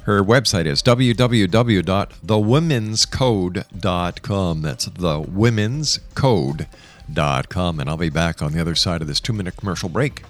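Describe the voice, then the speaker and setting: A man hosting a radio interview with a measured, announcer-like delivery. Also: low-pitched. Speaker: radio show host.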